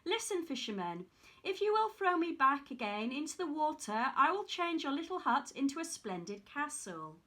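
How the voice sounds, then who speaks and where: A woman's voice: high voice, sing-song, moderate pace, pushed and bright, exaggerated voice. A woman voicing a magical talking golden fish while reading a fairy tale aloud. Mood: solemn. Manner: storybook read-aloud, precise.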